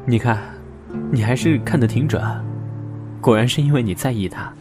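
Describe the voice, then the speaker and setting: A man acting a reserved, guarded young man in a modern audio drama, speaking with earnest restraint and gentle firmness. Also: mid-range voice, normal speed, lightly acted. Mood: agitated, insistent. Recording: music under the voice.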